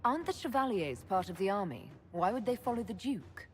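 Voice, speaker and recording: An actress playing a composed, diplomatic heroine and leader in a video game, measured, polite and assured. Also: female voice, mid-range voice, sing-song, soft background music, natural voice.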